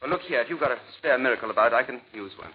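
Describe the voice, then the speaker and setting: An actor playing an earnest, overworked bishop, nervous, stammering and formal, in 1940s radio drama style. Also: male voice, medium pitch, animated, very fast, husky. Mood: irritated, resigned. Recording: muffled 1940s radio recording, faint noise.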